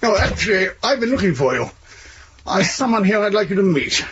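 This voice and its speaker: A genial but firm police chief, talks in a highish, animated voice. It is 1970s radio drama, muffled and faintly noisy. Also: male voice, a little fast. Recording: dull, noisy old radio recording.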